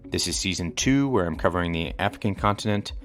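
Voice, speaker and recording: A male podcast host with a very deep voice reads an upbeat introduction and then slips into loose, rambling questions, laughing easily. Faint music plays under the intro, and in the interview his mic sits farther away. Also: lively intonation, fast, fairly bright tone.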